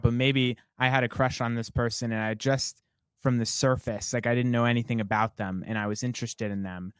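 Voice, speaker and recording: A young man, a songwriter guest, talking in a relaxed and reflective way, rambling with many fillers. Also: medium pitch, smooth, no echo. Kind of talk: casual interview conversation.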